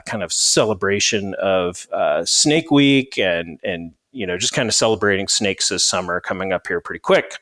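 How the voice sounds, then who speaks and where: A man hosting a podcast interview, talking in a relaxed, chatty way with many fillers and restarts. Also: lowish voice, dry recording. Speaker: podcast host. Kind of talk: casual interview.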